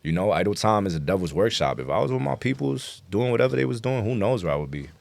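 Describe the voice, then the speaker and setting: A man's voice: fairly low pitch, very animated, fast talker, creaky, darkish tone, no echo. A man with a New York accent chatting casually as an interview guest, telling stories emphatically and with lots of slang. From New York City. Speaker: guest rapper.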